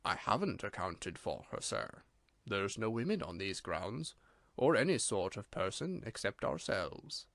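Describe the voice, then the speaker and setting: A solemn, deferential manservant with a slight Irish lilt, as a man reads him in a storybook. He speaks quietly and gravely, always formal. The recording is dry and close. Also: fairly low pitch, sing-song, a little slow, no echo, voice pushed down, exaggerated voice.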